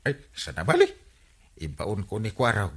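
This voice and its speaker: A man with an Ilocano accent playing a lazy, excitable village captain in a radio comedy drama. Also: on the low side, normal speed, husky. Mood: exclamatory.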